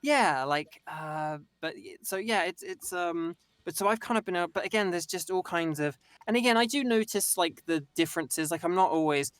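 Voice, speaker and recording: A man's voice: high voice, normal speed, few pauses, fairly bright tone, smooth, dry recording. A young man with an English accent, chatting as a voice-actor guest in a rambling, self-interrupting way. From England. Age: young adult. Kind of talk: casual interview chat.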